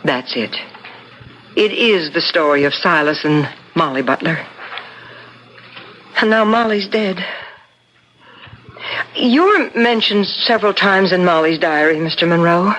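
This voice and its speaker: An actress in a 1970s radio drama playing a suspicious, determined woman who speaks in a serious, measured, pointed way. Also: on the low side, a bit bright. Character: suspicious, determined woman, loyal friend of the deceased. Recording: noisy old radio broadcast recording.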